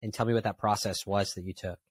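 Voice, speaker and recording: A man's voice: lowish voice, steady tone, fast, a little hiss or hum. A man hosting a podcast from a studio mic, prompting his guest with friendly questions. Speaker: podcast host. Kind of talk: casual interview. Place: studio with lit panels. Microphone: studio boom mic.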